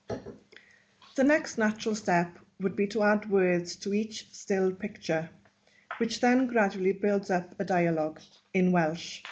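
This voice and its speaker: A woman teacher reading out a webinar presentation in a measured, instructional way. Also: medium pitch, darkish tone, lo-fi sound. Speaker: Welsh language teacher. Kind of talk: read-aloud webinar presentation.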